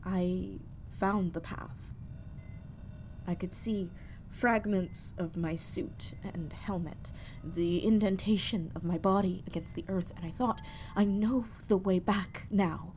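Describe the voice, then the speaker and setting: An actress plays a traumatized woman space explorer in a sci-fi horror audio drama. She speaks slowly and haltingly, haunted and betrayed, slipping into whispers. A faint rumbling noise sits under her voice. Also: fairly even intonation, a little mellow, natural voice, rough recording. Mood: fearful, weary.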